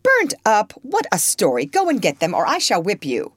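A woman's voice: fairly low pitch, wildly swooping pitch, a little fast, slightly rough, no echo, voice pushed up, full character voice. A woman voicing a strict, prim middle-aged aunt who scolds and exclaims as she reads a storybook aloud. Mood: scolding. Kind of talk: storybook reading.

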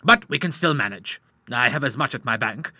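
A man narrating an audiobook, voicing a kindly middle-aged doctor who speaks in a measured, reassuring way. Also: medium pitch, lively intonation, bright, forceful tone, no echo. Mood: calm.

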